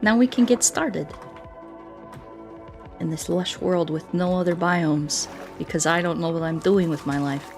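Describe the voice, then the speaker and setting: Woman game streamer, kinda low voice, goofy n scatterbrained chatting to chat, bit of music in the back. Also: unhurried, darkish tone. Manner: playful, scatterbrained, repeats words and sing-songs nonsense. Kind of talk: casual live game commentary, chatting with viewers.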